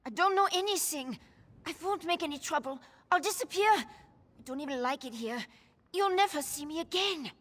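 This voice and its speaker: An actress playing a frightened, defensive young female informant in a video game, guarded and plain-spoken with a husky voice. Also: high voice, animated, normal speed, fairly bright tone. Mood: pleading, fearful. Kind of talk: video game character dialogue. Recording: long hall-like reverb.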